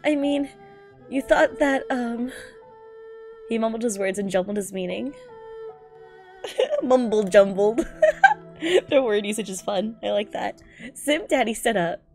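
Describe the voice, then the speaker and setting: A woman's voice: a little high, animated, measured pace, pauses often, a little mellow, soft background music. A woman streamer in a giggly, slangy, incredulous style, reading aloud and reacting casually.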